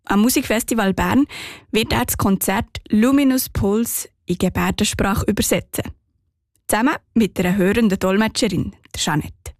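A woman's voice: medium pitch, moderate pace, no echo, clean studio sound. A woman with a Swiss German accent narrating a scripted radio report.

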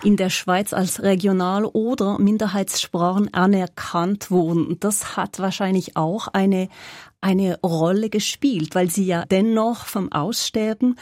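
A woman with a mellow, soft tone gives background information on a radio show. She speaks in a measured way, and the studio sound is close and dry. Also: medium pitch, fairly even intonation, no room sound. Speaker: radio reporter.

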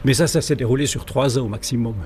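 A man's voice: mid-range voice, fast talker, a little mellow, slight background noise. A man with a Swiss French accent, a guest on a radio show, explaining things thoroughly and with digressions. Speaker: guest, local rail historian. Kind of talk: radio interview.

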